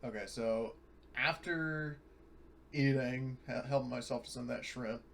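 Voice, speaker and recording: A man's voice: mid-range voice, unhurried, vocal fry, a bit bright. Man roleplaying over faint background noise. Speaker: player in a tabletop roleplaying game. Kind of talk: casual in-game roleplay and narration.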